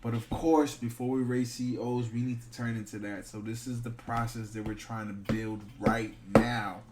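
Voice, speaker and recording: A man's voice: medium pitch, little pitch movement, normal speed, darkish tone, a little hiss or hum. A man in his thirties co-hosting a casual podcast, speaking bluntly and emphatically and swearing freely. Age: 30s. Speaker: podcast co-host. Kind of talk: casual chat.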